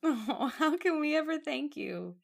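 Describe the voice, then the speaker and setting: An actress playing a cheerful young newlywed wife. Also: female voice, high voice, expressive, unhurried, gravelly, exaggerated voice. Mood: playful. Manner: playful.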